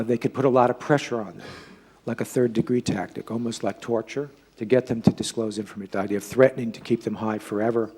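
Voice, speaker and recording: A man with a slightly husky voice giving an informal public lecture, halting as he repeats and rephrases himself. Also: mid-range voice, dark tone. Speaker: lecturer giving a talk and taking audience questions.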